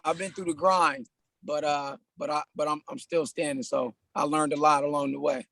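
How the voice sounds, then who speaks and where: A man in his thirties from California, a personal trainer talking about his life as a podcast guest in a relaxed, casual way, often saying "man". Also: a little high, normal speed, gravelly. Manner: laid-back, frequent "man" and restarts. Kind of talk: casual conversational interview.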